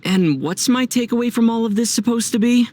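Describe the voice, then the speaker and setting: A young man playing a calm, thoughtful, gently wry traveler hero in a video game, speaking in a measured, articulate way. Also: extremely high pitch, a little hiss or hum. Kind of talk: video game character dialogue.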